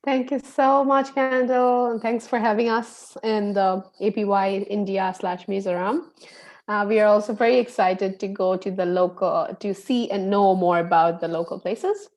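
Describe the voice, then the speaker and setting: A woman's voice: medium pitch, fairly even intonation, normal speed, a little mellow. A woman from Bangladesh hosting introductions on a video call, friendly and chatty with frequent laughs. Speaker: online program facilitator.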